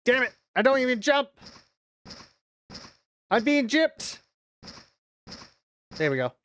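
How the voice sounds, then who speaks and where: A woman's voice: high voice, very animated, slow pace, a bit bright, slight background noise. A woman streaming a video game, commenting casually with a lot of exasperated swearing and exclaiming. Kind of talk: casual live gameplay commentary.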